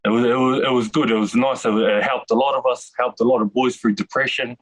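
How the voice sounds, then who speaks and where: A man's voice: medium pitch, fairly even intonation, fast talker. A Māori man from New Zealand talking hesitantly in a casual interview over a video call, with many restarts. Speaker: detainee interviewee.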